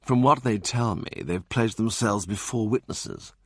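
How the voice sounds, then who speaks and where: Guy doing a smooth cold courtier, slow careful talk, low-ish. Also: dry recording, clean studio sound. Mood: guarded. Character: cold smooth poised nobleman.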